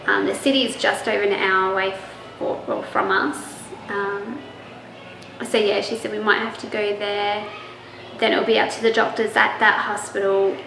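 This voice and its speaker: A woman's voice: medium pitch, steady tone, normal speed, creaky. A young woman giving a casual pregnancy vlog update, chatty with lots of ums and restarts, and she is losing her voice. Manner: chatty, many ums and restarts, laughs easily. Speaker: pregnancy vlogger. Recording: echoey room with faint noise.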